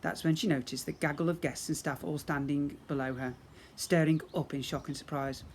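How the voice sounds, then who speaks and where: A man's voice: a little high, steady tone, fairly quick. A man narrating a children's story expressively, as a teacher reading to young pupils. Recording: faint background noise.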